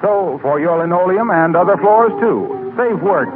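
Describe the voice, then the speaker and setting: A man's voice: high-pitched, animated, unhurried, very noisy. An American man working as a 1940s radio announcer, reading in a polished, salesmanlike style. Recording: tinny 1940s radio broadcast recording.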